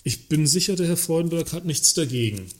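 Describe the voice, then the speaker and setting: A man as a husky, placating works councillor. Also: a little high, animated, fairly quick. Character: male works councillor, mildly mocking yet placating the boss. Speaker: actor in a satirical audio drama. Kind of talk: German radio play dialogue.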